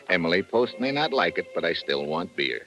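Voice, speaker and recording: An actor playing a tough, terse American agent with a wry, casual manner in an old radio drama. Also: male voice, low-pitched, sing-song, moderate pace, bright, forceful tone, soft background music, full character voice. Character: tough, dry American undercover agent posing as correspondent. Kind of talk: 1950s radio drama acting.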